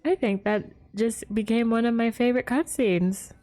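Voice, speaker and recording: A woman's voice: on the high side, moderate pace, dark tone. A woman streaming a game, reacting casually with giggles and gasps and reading text aloud. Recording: game music behind.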